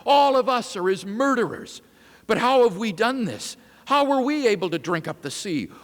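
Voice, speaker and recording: A man's voice: sits high, sing-song, normal speed, voice pushed up. A man voicing an anguished, accusing madman with declamatory, urgent delivery in a dramatic reading. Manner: declamatory, urgent rhetorical questions. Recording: reverberant lecture hall.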